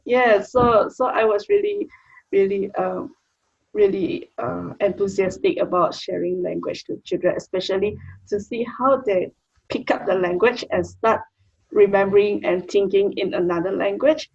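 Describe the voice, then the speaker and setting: A woman's voice: medium pitch, animated, normal speed, creaky, husky, muffled recording. A young woman from Malaysia with a Malaysian accent, Mandarin her first language, chatting plainly as an interview guest about her work with children. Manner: plain, chatty, with short restarts. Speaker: guest, early childhood educator. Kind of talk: casual interview answers.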